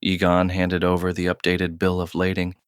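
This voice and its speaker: A man narrates a scripted audio drama in a low, smooth voice. His delivery is measured and terse with very little rise or fall. The sound is close and dry. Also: monotone, no echo.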